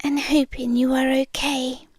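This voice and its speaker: High, slow, whispery British monster girl, acted by a woman. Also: level tone, no echo. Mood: shy, confessional. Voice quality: soft, breathy, often dropping into whispers. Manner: slow, hesitant, tender delivery. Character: shy, smitten female monster living under the bed. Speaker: ASMR roleplay performer. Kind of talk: ASMR roleplay, intimate monologue. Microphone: close microphone, dry.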